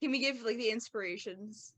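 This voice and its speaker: A woman in casual podcast banter as a cast member, eager and quick to interject. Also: fairly high pitch, fairly bright tone. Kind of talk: casual post-show banter.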